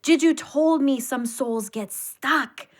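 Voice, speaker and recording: A woman's voice: high-pitched, slow pace. An actress playing an earnest, loyal, spiritually minded woman friend in a naturalistic audio play, speaking with sincerity. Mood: earnest, wondering. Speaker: voice actor in audio drama.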